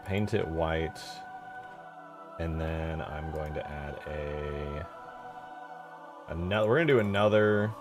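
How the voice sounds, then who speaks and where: A man with a low voice talks through his work as a game modder in a casual stream. He mutters to himself in informal slang, thinking aloud. Music plays clearly behind him. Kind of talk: casual thinking-aloud commentary while working.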